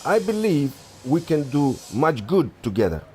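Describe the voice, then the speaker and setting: An actor playing a zealous, scheming archaeologist with a formal, theatrical manner in a radio adventure drama. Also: male voice, fairly high pitch, sing-song, a little slow, darkish tone, soft background music. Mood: suave, persuasive.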